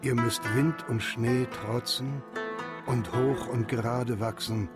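A male actor plays a reflective man looking back on his boyhood. He narrates very slowly at a medium pitch, as in a radio play, with loud guitar music underneath. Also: steady tone, lightly acted. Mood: wistful, reflective. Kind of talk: scripted first-person radio drama narration.

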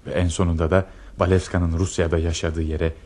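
A lovestruck army lieutenant in a radio drama. His voice is very low, and he speaks as an earnest dreamer, confiding his obsession with dramatic feeling. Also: little pitch movement, brisk, a little mellow. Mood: passionate, confiding. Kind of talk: Turkish radio theatre acting.

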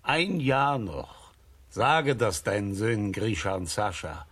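Husky, slow, solemn blind prophet. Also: male voice, lowish voice, sing-song, a bit bright, full character voice. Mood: solemn, prophetic. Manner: measured, solemn, portentous. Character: solemn blind old wandering holy man, prophetic. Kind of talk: German children's radio play acting.

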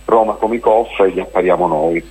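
Guy on a radio interview, kinda low husky voice, lots of ehs and restarts. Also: a little fast, warm tone, rough recording. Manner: rambling, hesitant, many fillers and restarts. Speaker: festival organizer, interview guest. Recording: radio broadcast.

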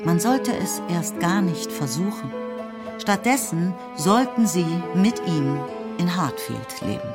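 A woman narrating a literary radio play, telling the story in a measured, articulate way. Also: lowish voice, very animated. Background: music.

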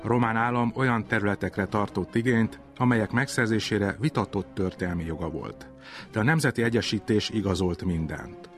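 A man with a low voice narrates a scripted history documentary. Faint music plays behind his words.

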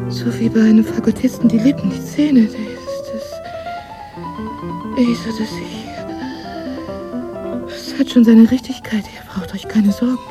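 An actress plays a tormented woman pianist in a radio play. Her high voice moves slowly and haltingly, breaking into fragments and repetitions. She sounds dazed and haunted, with prominent piano music behind her. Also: steady tone, natural voice. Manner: halting, fragmented, repeating words. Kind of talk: German radio drama acting.

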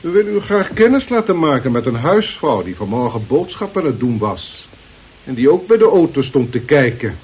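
An actor playing a shrewd, sarcastic police inspector in a radio play, polite, measured and quietly insinuating. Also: male voice, medium pitch, sing-song, breathy edge. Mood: sardonic. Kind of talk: Dutch radio play acting. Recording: dull, lo-fi radio play recording.

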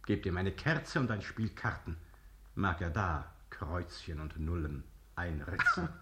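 An actor playing an imperious king in a radio play, ironically polite and deliberate. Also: low voice, big pitch swings, pushed and bright, a little hiss or hum, exaggerated voice. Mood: regal command. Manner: regal, mock-courteous, deliberate. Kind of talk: German radio play acting.

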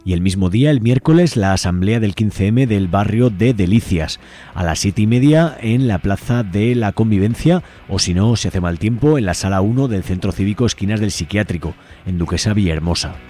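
A man reads local listings as a radio host, with music playing behind him. His voice is dark and relaxed, sitting on the low side. The delivery is informal and conversational. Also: normal speed. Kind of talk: reading community event announcements on radio.

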